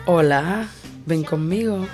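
A woman's voice: lowish voice, slow, darkish tone. A woman playing a bold seductress in an audio drama, coaxing and breathless as she pursues a shy youth. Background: music.

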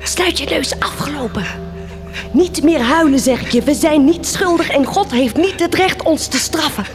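A woman's voice: sits high, moderate pace, natural voice. An actress in a Dutch radio drama playing a stern, defiant young woman who tends the sick, speaking bluntly and firmly. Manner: blunt, firm. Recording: music behind the voice.

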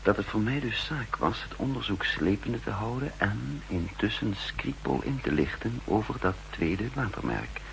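An actor playing a brooding undercover double agent who narrates his story in measured, introspective Netherlands Dutch, in a 1960s radio drama. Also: on the low side, expressive, vocal fry, poor audio. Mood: brooding, calculating. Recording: hissy 1960s radio recording.

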